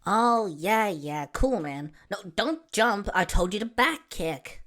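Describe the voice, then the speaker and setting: A woman's voice: medium pitch, expressive, takes it slowly, a bit bright, pitched below the performer's own voice. A woman voicing a laid-back, teasing teenage boy gamer in a fan fiction story, offhand and slangy. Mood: distracted. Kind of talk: amateur fan fiction character reading.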